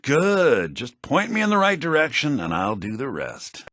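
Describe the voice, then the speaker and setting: Casting: self-serving fantasy game schemer, sly and theatrical, husky voice with very animated pitch. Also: male voice, moderate pace, no echo, studio quality, exaggerated voice. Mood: scheming. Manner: theatrical, condescending. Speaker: video game voice actor. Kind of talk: fantasy role-playing game dialogue. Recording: low-bitrate game audio rip.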